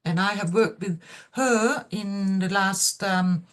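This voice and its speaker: Hesitant Danish-accented woman presenting. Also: mid-range voice. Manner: hesitant, with many pauses and fillers. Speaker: professor presenting research. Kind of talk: seminar presentation. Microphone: video call.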